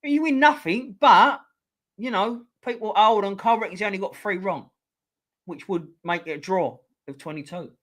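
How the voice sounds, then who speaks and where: Bloke reading out quiz questions on a stream, a bit hoarse, sweary banter, voice sits a bit high. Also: male voice, normal speed, fairly bright tone. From England. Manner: swearing, banter with chat viewers. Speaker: livestream host. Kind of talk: casual live stream chat, running a quiz.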